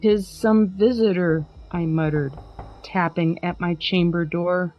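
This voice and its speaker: A woman's voice: lowish voice, steady tone, takes it slowly, muffled recording. A woman hosting a podcast in an upbeat, chatty way, laughing easily and also reading a poem aloud with drama. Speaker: podcast host.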